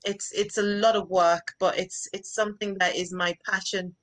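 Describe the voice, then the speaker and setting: A woman's voice: medium pitch, level tone, moderate pace. A middle-aged woman with a Nigerian accent, a charity founder speaking earnestly and gratefully as a guest in a casual video chat. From northeastern Nigeria. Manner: earnest, grateful, repeats herself. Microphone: video call.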